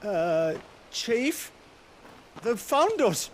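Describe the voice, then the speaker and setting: A man's voice: extremely high pitch, big pitch swings, very slow, slight background noise, heavily acted. An actor playing a timid, dim henchman in a video game, anxious and pleading. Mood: exhausted, worried. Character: timid, whining dim henchman of a smuggler boss. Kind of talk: video game cutscene acting.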